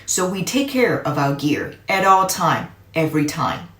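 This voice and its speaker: Woman reading as a firm, slow-spoken veteran uncle. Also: low voice, big pitch swings, fairly bright tone, full character voice. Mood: stern. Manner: serious, firm, emphatic. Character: adult uncle, military veteran, firm disciplined mentor. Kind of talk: storybook character voice. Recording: echoey room.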